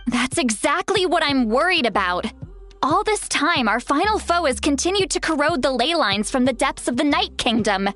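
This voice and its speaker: High-voiced, assured veteran chief. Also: female voice, big pitch swings, normal speed, a bit bright, soft background music. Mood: grave. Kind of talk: English video game voice acting.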